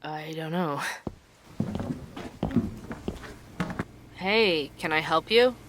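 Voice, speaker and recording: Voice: mid-range voice, a little slow, a bit bright, slight background noise, lightly acted. An actor playing a tired, earnest investigative journalist who hosts a podcast, in naturalistic audio drama. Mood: weary.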